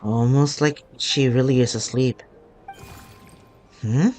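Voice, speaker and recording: A man streaming a story game, reading dialogue aloud and adding halting, muttered asides. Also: mid-range voice, fairly even intonation, darkish tone. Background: game music.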